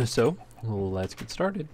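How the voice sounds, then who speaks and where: A man's voice: medium pitch, big pitch swings, very slow, a little mellow. A man hosting a gaming video with casual, unscripted walkthrough commentary, speaking a little hesitantly. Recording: noisy home recording, clear background noise.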